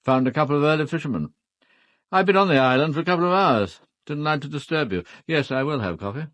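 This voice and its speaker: An actor playing a gruff, conservative British officer and titled husband in an audio drama, clipped and blunt. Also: male voice, a little high, expressive, quick pace, fairly bright tone. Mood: brisk, hearty. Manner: clipped, blunt, dropping first words.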